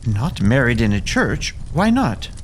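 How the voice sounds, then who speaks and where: An ardent Victorian suitor in a period radio play. His mid-pitched voice swings widely with earnest, romantic emphasis, over faint ambience. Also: big pitch swings, a little slow, a little hiss or hum. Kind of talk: period audio drama acting.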